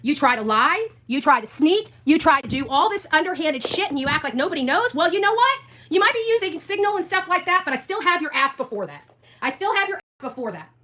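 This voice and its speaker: A woman's voice: high voice, big pitch swings, fairly quick, pushed and bright. A woman livestreamer ranting confrontationally, taunting and repeating her phrases for emphasis.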